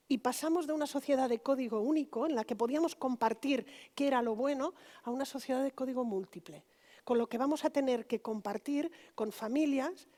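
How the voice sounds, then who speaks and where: Woman lecturing, higher mellow voice. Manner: conversational, frequent tag questions to the audience. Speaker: pediatrician giving a conference lecture. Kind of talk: conference closing lecture.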